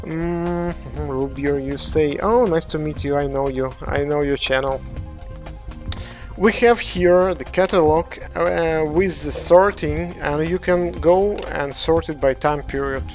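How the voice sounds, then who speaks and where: A speaker from Ukraine with a Ukrainian accent, a game developer casually walking through models on a livestream and answering chat in halting English full of hesitations. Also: mid-range voice, soft background music. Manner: halting non-native English, frequent hesitations.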